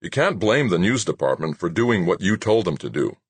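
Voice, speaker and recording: A man's voice: deep voice, very animated, normal speed, breathy edge, no echo, full character voice. A man voicing a sturdy young programming executive in an audiobook, firm and reasoning. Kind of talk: audiobook character dialogue.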